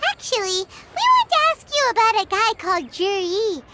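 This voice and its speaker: A woman voicing a small chirpy female companion sprite in a video game, with a squeaky, childlike, sing-song delivery. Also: wildly swooping pitch, moderate pace, heavy background noise, heavily acted. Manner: eager, bouncy, sing-song. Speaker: companion character. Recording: game music bed under the voice. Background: game background music.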